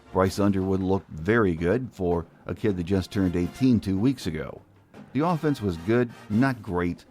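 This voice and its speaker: A man's voice: low-pitched, a little mellow. A man hosting a sports podcast, delivering scripted narration. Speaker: podcast host.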